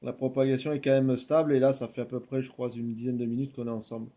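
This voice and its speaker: A man's voice: mid-range voice, little pitch movement, fast, dark tone. A man working as an amateur radio operator, chatting casually and repeating himself with frequent tag words. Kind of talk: casual radio contact chat.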